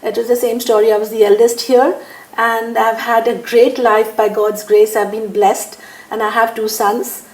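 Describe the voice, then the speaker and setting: A woman's voice: fairly high pitch, flat delivery, moderate pace, a little mellow, reverberant, poor audio. An older woman from India talking at an easy pace in an interview, laughing often and using lots of fillers. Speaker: interviewee, businesswoman. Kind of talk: relaxed conversational interview.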